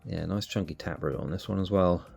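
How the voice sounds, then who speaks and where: A man hosting a cactus channel, sounding nasal from allergies, calmly explaining as he narrates. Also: deep voice, a little fast, gravelly, slightly rough, soft background music. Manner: relaxed, explanatory. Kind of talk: casual voice-over narration.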